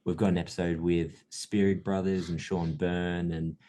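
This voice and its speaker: Deep-voiced film marketer. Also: male voice, moderate pace. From Australia. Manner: relaxed, explanatory, laughs easily. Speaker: guest, film distributor marketing manager. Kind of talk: casual interview chat.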